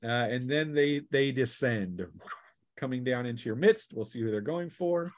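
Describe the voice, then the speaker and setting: A man's voice: mid-range voice, lively intonation, no room sound. Casual man running a tabletop game. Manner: casual, halting narration mixed with rules talk. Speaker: game master. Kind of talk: narrating a tabletop role-playing game.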